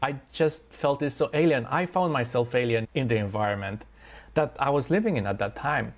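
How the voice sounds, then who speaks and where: A man, telling his own life story in an earnest, unhurried, reflective way with thoughtful pauses. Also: medium pitch. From Romania.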